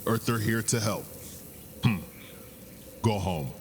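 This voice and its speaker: An actor playing a gruff, proud, hostile male alien who speaks in terse broken English in a sci-fi motion comic. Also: lowish voice, slow pace, slight background noise. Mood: gruff, commanding. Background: music.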